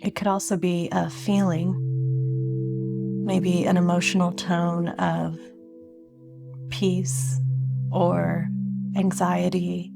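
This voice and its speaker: A woman guiding a meditation, speaking gently and unhurriedly with long pauses between phrases. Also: on the low side, little pitch movement, gravelly. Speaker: meditation guide. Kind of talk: guided meditation. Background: meditative singing bowl and sine-tone music.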